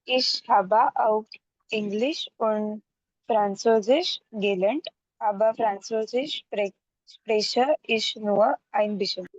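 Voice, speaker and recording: Girl student reading german slow n careful, muffled call audio, dry. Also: medium pitch, no room sound. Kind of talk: reading a text aloud in class. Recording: dull video call audio.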